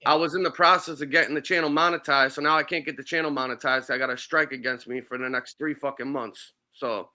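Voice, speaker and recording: A man's voice: mid-range voice, fairly even intonation, fast talker, hardly any pauses, sharp tone. A man hosting a live stream, ranting in a casual, profane, fired-up way. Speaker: show host.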